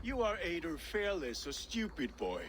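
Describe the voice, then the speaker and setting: A gruff old Viking warrior. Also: male voice, a little high, sing-song, moderate pace, heavy background noise. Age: older. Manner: gruff, threatening. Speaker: game character.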